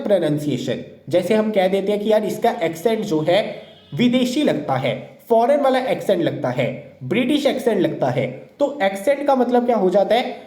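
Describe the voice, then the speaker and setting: A man explains word pairs in a steady teaching lecture, with a mellow, warm tone. He keeps posing a question and answering it at once. The sound bounces around a roomy space. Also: high voice. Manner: repeats questions then answers them. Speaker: English teacher for exam prep. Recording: roomy echo.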